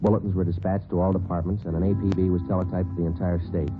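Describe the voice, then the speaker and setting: A deadpan police detective, played by a man narrates in a very deep voice and a quick, clipped delivery. The sound is an old, tinny radio broadcast, and music sits clearly under it. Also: close to an everyday voice. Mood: terse. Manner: clipped, deadpan and matter-of-fact. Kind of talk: 1950s radio drama narration.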